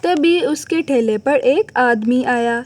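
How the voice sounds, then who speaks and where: Woman narrator, scripted moral story, cartoon, very high pitch, slow measured pace. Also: darkish tone.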